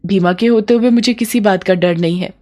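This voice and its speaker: A woman's voice: mid-range voice, brisk, warm tone, lightly acted. A woman voicing a devoted young village bride in a story, earnest and emotional. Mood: reassuring, determined.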